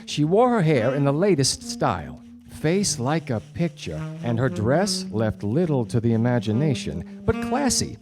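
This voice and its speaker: A man acts out a 1940s private eye for a radio play, wry and hard-boiled. His pitch sits mid-range but moves around a lot, with clear music underneath him. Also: wildly swooping pitch, unhurried. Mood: hard-boiled, narrating. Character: wry, patient 1940s gumshoe detective narrating his case. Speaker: actor playing the lead and narrator. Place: theatre stage before a studio audience.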